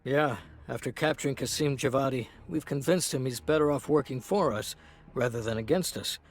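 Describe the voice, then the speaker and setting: A man's voice: mid-range voice, normal speed, soft background music, lightly acted. A man playing a matter-of-fact intelligence officer delivering a briefing in a video game. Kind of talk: cinematic game drama acting.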